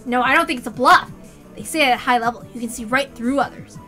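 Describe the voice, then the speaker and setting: A woman's voice: on the high side, fast talker, bright, forceful tone, faint music behind. A woman voicing astonished, overdramatic male sports reporters in a story reading, with pompous, breathless hype. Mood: solemn musing.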